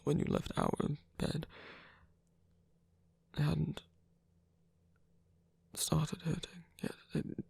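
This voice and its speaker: A performer of unclear sex voicing a soft-spoken, weary, tender villain lover in a romantic ASMR roleplay, breathy and often whispery, with a hesitant, gently wry delivery. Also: mid-range voice, dry recording, exaggerated voice. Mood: apologetic, wry.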